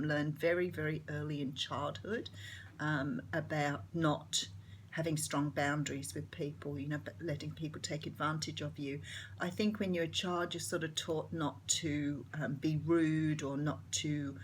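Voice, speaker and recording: Australian woman, middle-aged, low-ish voice, a little croaky, chatty tarot host; faint hiss behind. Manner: chatty, friendly, filler-heavy. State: slightly croaky morning voice. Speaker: tarot reader. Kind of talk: casual tarot reading to camera.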